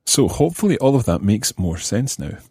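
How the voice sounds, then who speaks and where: A man hosting a language lesson, explaining phrases in a measured way and repeating them for learners. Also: on the low side, sing-song, mellow, slightly rough, dry recording, studio quality. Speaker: language teacher and podcast host. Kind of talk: lesson explanation, switching between English and French.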